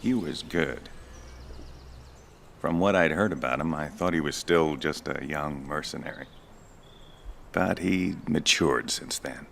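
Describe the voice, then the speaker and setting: A very low, slow old soldier reflects like a retired ace in a game's documentary interview. He is weary and resigned, measuring out each phrase, with gravel in the voice and faint noise behind. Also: fairly bright tone, heavily acted. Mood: reflective, resigned. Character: weary old veteran ace pilot and former flight instructor, resigned.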